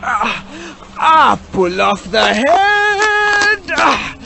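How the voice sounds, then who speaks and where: An actor playing a gleeful vampire cab driver with an Indian accent and a theatrically polite, menacing manner in a horror-comedy radio drama. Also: male voice, extremely high pitch, sing-song, drawn-out words, gravelly, over music, full character voice. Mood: gleeful, savoring. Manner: theatrically polite, savoring each menacing phrase.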